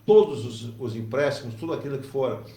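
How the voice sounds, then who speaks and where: A man's voice: mid-range voice, animated, fairly quick, mellow, distant mic. Male host, conversational and filler-heavy, recorded off-mic in a roomy space. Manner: conversational, with many fillers and tag questions. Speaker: radio talk show host. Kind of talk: hosting a discussion.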